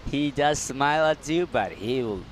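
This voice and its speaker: A man's voice: medium pitch, animated, normal speed, a little hiss or hum. A man with an Indonesian accent co-commentating live surfing, playful and laughing, with loose, broken phrasing.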